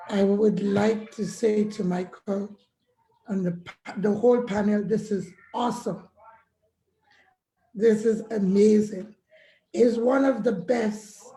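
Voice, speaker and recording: A hoarse woman giving an earnest, halting testimonial as a guest on an online webinar call. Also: mid-range voice, warm tone, reverberant, rough recording. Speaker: guest caller. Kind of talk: casual testimonial. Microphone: video call.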